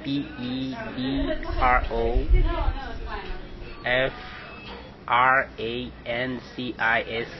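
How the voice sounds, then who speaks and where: Man answering over loud crowd. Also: mid-range voice, very animated, vocal fry. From Angola. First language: Portuguese. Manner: halting, many restarts and fillers. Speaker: interviewee. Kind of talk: casual interview answers. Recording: dull lo-fi sound with loud crowd noise.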